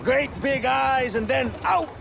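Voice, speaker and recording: An actor playing an arrogant, gloating military commander in a video game, with a gravelly, theatrical and mocking delivery. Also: male voice, extremely high pitch, slow pace, noisy background, heavily acted. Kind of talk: cinematic video game acting.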